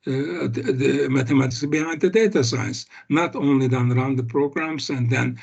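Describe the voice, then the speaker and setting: An older man giving an academic seminar on a video call, speaking haltingly with many fillers and restarts. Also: medium pitch, vocal fry, darkish tone, husky. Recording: muffled video call audio.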